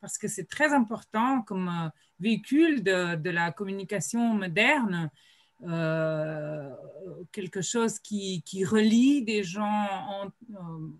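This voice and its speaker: Woman, unhurried panelist on a video call, lots of "euh" pauses, mid-pitched. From Slovenia. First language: Slovene. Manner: hesitant, many drawn-out "euh" pauses. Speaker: writer at a colloquium panel. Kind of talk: panel discussion remarks.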